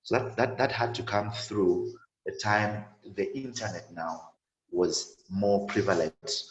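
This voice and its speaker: A man in his twenties telling his own story on an online panel, halting and full of repeated words and fillers. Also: on the low side, roomy sound, muffled recording, a little hiss or hum. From sub-Saharan Africa. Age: 20s. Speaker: panel guest.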